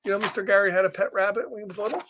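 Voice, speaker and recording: A man hosting a story time, reading a picture book aloud gently with chatty asides and questions, sometimes dropping almost to a whisper. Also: sits high, racing, a little hiss or hum. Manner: gentle, conversational, often asks questions and drops into whispers. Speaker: story time reader and host.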